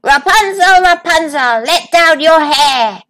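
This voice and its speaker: A woman's voice: high-pitched, expressive, slow pace, a bit bright, pitched above the performer's own voice, heavily acted. A woman voicing a cruel old witch in a storybook reading, with a stern, menacing delivery.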